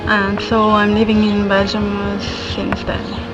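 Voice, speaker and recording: A woman from Germany answering interview questions in a halting way, with many fillers and non-native phrasing. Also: mid-range voice, monotone, lo-fi sound, over loud music.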